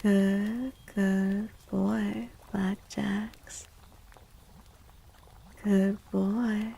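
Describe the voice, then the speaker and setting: A woman livestreamer doing soft-spoken ASMR, gently chatting to viewers in a hushed voice that often slips into whispers, close to the microphone. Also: mid-range voice, flat delivery, extremely slow, creaky, slightly rough. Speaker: livestreamer hosting an ASMR stream.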